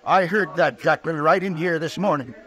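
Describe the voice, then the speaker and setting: An anxious frontier hotel keeper, played by a male radio actor with a high American voice. He frets and nags urgently, looking out for himself, in vintage radio western style. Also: moderate pace, fairly bright tone, natural voice. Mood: agitated. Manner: nagging, fretful, urgent. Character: anxious, self-interested townsman who runs a hotel. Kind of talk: mid-century American radio western acting.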